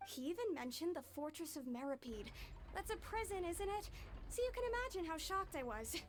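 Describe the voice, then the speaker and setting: A woman's voice: very high-pitched, sing-song, a little fast, slight background noise. An actress playing a nervous, evasive young woman thief confessing in an anime game. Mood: sheepish explaining. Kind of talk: anime video game acting.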